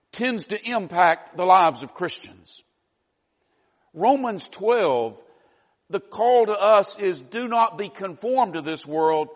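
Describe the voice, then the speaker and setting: A Southern American preacher with a fairly high voice delivers an earnest, folksy sermon. His words carry a long reverb, as in a church hall. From Southern United States. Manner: folksy, earnest, with many "you know" asides.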